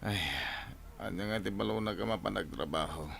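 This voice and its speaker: A man's voice: lowish voice, fairly quick, vocal fry, fairly bright tone, exaggerated voice. A man with an Ilocano Filipino accent playing a lazy, whining, easily startled husband and father in a radio drama, exclaiming, stammering and wheedling. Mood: sluggish, grumbling.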